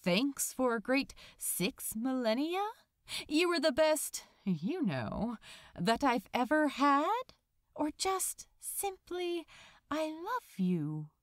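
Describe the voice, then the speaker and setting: Lady playing a fussy prim angel fella in a story, flustered n halting, slow, big pitch swings. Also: very animated, no echo, studio quality, exaggerated voice. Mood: hesitant, tender. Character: fussy, earnest male angel, flustered and tender.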